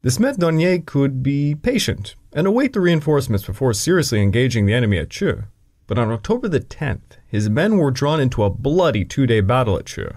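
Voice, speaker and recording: A man's voice: mid-range voice, sing-song, a little fast, darkish tone. A man narrating a scripted history podcast, with dry joking asides. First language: English. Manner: dry asides breaking into the reading.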